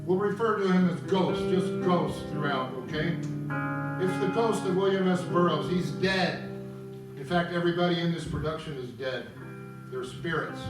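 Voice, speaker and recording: A man's voice: a little high, normal speed, far from the mic. A man informally introducing a stage work to a gathering, explaining as he goes and checking in with listeners. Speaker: writer presenting his opera at a table read. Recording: echoey room from afar, guitar behind.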